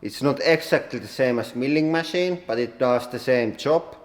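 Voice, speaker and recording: Finnish guy showing off his workshop, lots of "like", big hall echo all around him. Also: mid-range voice. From Finland. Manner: plain halting English with many "like" fillers. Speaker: workshop owner and channel host. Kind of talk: casual workshop tour. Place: large industrial workshop hall. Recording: roomy echo with long hall reverb.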